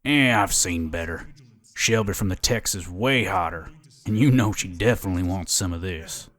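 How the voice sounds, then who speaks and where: A man's voice: deep voice, normal speed, a bit bright. A man playing a cocky, griping young soldier with a casual, boastful manner in a sci-fi audio drama.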